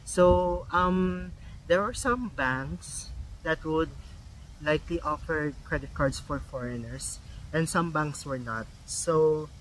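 A man's voice: a little high, fairly bright tone, slight background noise, rough recording. A young Filipino man with a Filipino accent and Filipino as his first language, vlogging casually, with many ums and restarts. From Philippines. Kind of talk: casual vlog talk.

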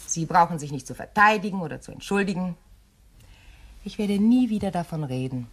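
Low-voiced, coolly composed widow. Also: female voice, expressive. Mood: quiet, controlled. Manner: measured, pointed, courteous. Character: composed, shrewd widow, quietly menacing beneath courtesy. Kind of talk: German crime radio play acting.

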